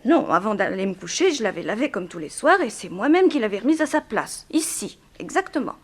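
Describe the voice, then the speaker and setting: A young woman of a planter's family, bereaved yet composed, in a 1960s French radio play. She speaks frankly in a middle-pitched voice, with measured, theatrical diction and a guarded edge. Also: very animated, slightly rough. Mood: startled, precise.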